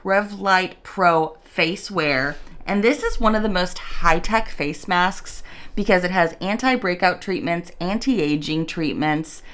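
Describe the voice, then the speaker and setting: A woman around forty with a raspy morning voice, chatting in a rambling, casual way as she reviews a beauty product at home. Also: fairly low pitch, takes it slowly, a bit bright. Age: 40s. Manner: rambling, chatty, self-interrupting. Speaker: beauty vlogger.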